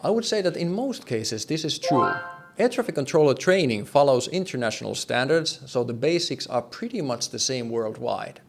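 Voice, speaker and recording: A man's voice: mid-range voice, animated, normal speed, creaky, over music. A man presenting a scripted explainer in a conversational, plain-spoken way, with emphasis and light wryness. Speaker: host, air traffic control explainer.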